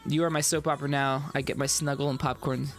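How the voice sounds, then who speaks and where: A man's voice: medium pitch, little pitch movement, quick pace. A man in his thirties with a head cold, chatting loosely with his stream chat from a dim home room. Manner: loose, rambling, talks straight to chat. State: stuffed up with a cold. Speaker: music livestreamer. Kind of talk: casual livestream chat. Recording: added reverb over guitar music.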